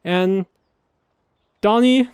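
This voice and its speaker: High, clear-voiced man chatting casually. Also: drawn-out words, darkish tone, no echo, clean studio sound. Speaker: video host. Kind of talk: casual language commentary.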